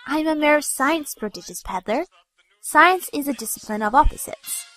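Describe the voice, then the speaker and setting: A woman voicing an earnest, optimistic young pony scientist in an audio drama, speaking gently and precisely. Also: sits high, animated, moderate pace, soft background music, rough recording. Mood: earnest, hopeful. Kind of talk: scripted audio drama dialogue.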